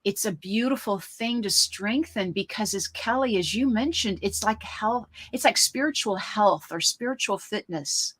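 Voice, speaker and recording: Woman co-host, bright crisp voice, easygoing chatty delivery with fillers. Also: medium pitch, normal speed, few pauses. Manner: chatty, upbeat, frequent fillers. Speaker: radio show co-host. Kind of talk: casual talk show chat.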